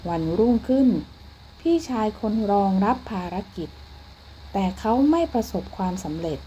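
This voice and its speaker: Female storyteller for children's bedtime fairy tales, soft mellow timbre, medium pitch, read from script. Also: very animated, normal speed, a little hiss or hum, poor audio.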